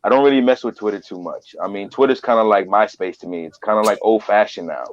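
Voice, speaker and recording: A man's voice: fairly low pitch, fast talker, lo-fi sound. A man guesting on a podcast as a voiceover artist, talking earnestly and repeating phrases for emphasis. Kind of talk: casual interview talk.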